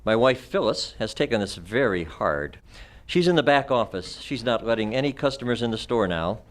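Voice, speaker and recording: A man's voice: mid-range voice, lively intonation, moderate pace, lightly acted. A man acting a formal, self-important doctor in an old-time radio mystery. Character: formal, self-important male doctor, husband of gallery owner. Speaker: amateur radio club performer.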